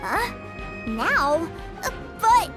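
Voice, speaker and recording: High-voiced, eager little boy. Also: very animated, very slow, over music, full character voice. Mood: curious. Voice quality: childlike, light. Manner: wide-eyed, eager. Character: little boy, cheerful, innocent, eager kid brother. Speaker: voice actor. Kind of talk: English-dubbed anime game dialogue.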